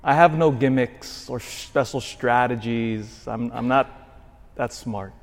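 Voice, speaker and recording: A man in his forties, a parish priest giving a sermon, swinging from shouted emphasis to quiet asides. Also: mid-range voice, slow pace. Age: 40s. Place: church. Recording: long church reverb.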